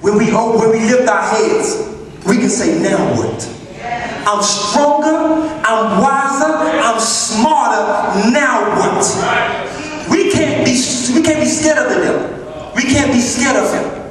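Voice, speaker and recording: A young man preaching an impassioned sermon to young people, emphatic and repetitive, often raising his voice toward a shout. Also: very high-pitched, lively intonation, slow. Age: young adult. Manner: emphatic, repeats phrases, often raises voice to a shout. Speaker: youth preacher. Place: large church hall, indoors. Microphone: handheld microphone through PA, filmed by distant camera. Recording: echoey hall from far off, crowd behind.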